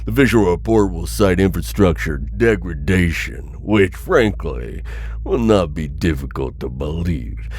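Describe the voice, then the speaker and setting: A man's voice: low voice, lively intonation, a little slow, a little mellow. A man playing a cold, pragmatic corporate executive in an audio drama, speaking in a clinical, dismissive way. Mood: cold, matter-of-fact. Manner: clinical, matter-of-fact, dismissive. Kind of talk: audio roleplay acting, boardroom recording.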